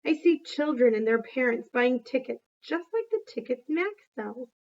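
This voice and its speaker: High-voiced woman reading a story aloud. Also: animated, normal speed, vocal fry, dull audio. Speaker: read-aloud reader. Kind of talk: expressive storybook reading with brief asides.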